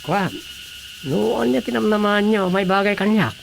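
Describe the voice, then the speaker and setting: A hapless young suitor in an Ilocano radio comedy. He sounds lovestruck and unsure, pleading his case hesitantly. The recording has clear noise behind the voice. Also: high voice, moderate pace. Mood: nervous, baffled. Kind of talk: Filipino radio drama comedy acting.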